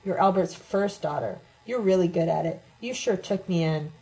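A woman voicing a drunk, bitter older woman in an audiobook, taunting and loudly accusing. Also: on the low side, normal speed, close to an everyday voice, low-quality recording. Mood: hateful. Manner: shouting, sneering, accusatory.

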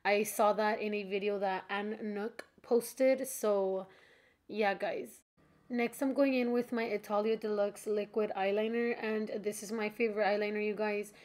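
A young woman narrates a tutorial in a mid-pitched, slightly congested voice. She is informal and chatty, talking to viewers as if they were friends. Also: steady tone, measured pace, darkish tone. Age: young adult. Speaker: makeup tutorial creator. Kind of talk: casual tutorial voice-over.

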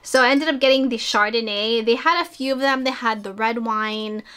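A woman's voice: a little high, normal speed, sharp tone, clear, resonant tone. A woman in her thirties chatting casually at home in a haul vlog, upbeat and full of fillers. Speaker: vlogger. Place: small bedroom filming space at home.

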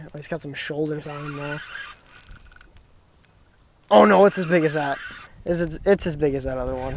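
A young man giving excited, casual running commentary while fishing from a dock on a lake. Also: on the high side, slight background noise. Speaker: fishing vlogger. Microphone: action camera mic, sometimes head-mounted.

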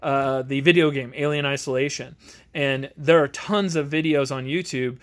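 A man giving a casual, unscripted game review in a halting way, searching for words as he goes. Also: medium pitch, steady tone, a bit bright. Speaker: tabletop game reviewer and game master. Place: home garage.

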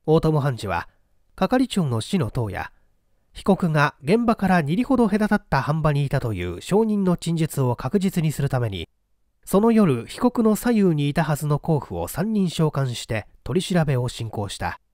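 Expressive male narrator, close dry sound. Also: a little high, normal speed, no echo. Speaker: audiobook narrator. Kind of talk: scripted narration of a mystery story.

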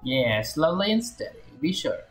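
A woman's voice: low-pitched, big pitch swings, normal speed, soft background music. A woman game streamer giving casual playthrough commentary.

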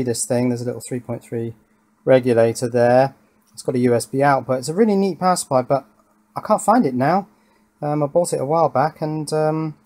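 A man's voice: mid-range voice, a little mellow. Man thinking aloud over a hands-on build. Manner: thinking aloud, muttering to himself while working. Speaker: electronics hobbyist host. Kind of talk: casual hands-on build commentary. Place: indoors at a wooden workbench.

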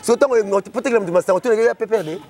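Fast, high-voiced Congolese man. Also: darkish tone. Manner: gestures constantly, rapid and emphatic. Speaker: interview guest. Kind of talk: animated chat mixing French and Lingala. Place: outdoors beside a parked car. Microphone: lapel mic.

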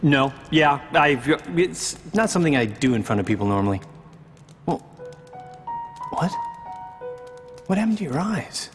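An actor playing a gentle young male traveler in a game cutscene, hesitant, earnest and caring. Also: on the high side, expressive, unhurried, long pauses. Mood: flustered, concerned. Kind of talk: video game cutscene acting. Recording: long reverb, music behind.

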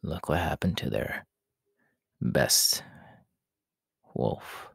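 A man playing a proud, menacing, world-weary demon king in an ASMR roleplay, talking in a deliberate, haughty way that often sinks into breathy whispers. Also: rumbling low, lively intonation, no room sound, studio quality, full character voice. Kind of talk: ASMR audio roleplay acting.

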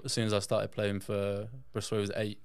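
A man's voice: fairly low pitch, completely flat delivery, quick pace, creaky, darkish tone, clean tone, dry recording. A man from England, a podcast guest telling personal stories in a casual, conversational way. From England, born in Yorkshire, raised in south-west England. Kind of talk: casual personal storytelling in an interview.

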